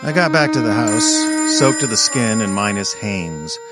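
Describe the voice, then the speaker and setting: Man as wry low-voiced detective's sidekick narrator. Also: unhurried. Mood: wry, storytelling. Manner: wry, deadpan storytelling. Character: wry, put-upon male detective's assistant narrating. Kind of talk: old time radio first-person narration. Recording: loud music under the voice.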